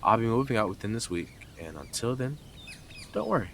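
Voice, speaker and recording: A man's voice: fairly low pitch, big pitch swings, a little fast, vocal fry, a bit bright, slight background noise, full character voice. A man playing a blunt, sarcastic, teasing stalker who is devoted to the listener in an intimate roleplay audio drama. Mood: offended, huffy.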